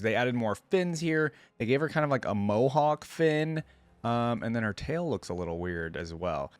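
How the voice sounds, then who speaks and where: A man's voice: mid-range voice, no room sound. A man hosting a reaction video, giving his opinions in casual, conversational commentary.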